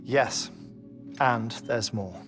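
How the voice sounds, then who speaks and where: An actor playing a solemn, secretive investigator who talks in a measured, confiding way in a dramatic game cutscene. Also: male voice, mid-range voice, over music. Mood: grave, confiding. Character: solemn, secretive male investigator revealing grave findings.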